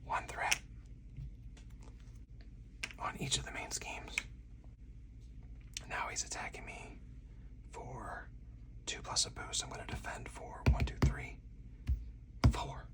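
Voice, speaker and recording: A man speaks in a breathy whisper, sitting slightly back from the microphone. He narrates a tabletop game move by move in a slow, soothing ASMR style. His delivery stays deliberate and hushed. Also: recorded from a distance. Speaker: solo board game player and channel host.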